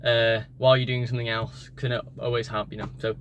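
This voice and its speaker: A man's voice: mid-range voice, level tone, quick pace, highs cut off. A man, a native English speaker, talking casually in a vlog about learning languages, tired after the gym and rambling with many fillers and restarts. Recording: street and car noise behind.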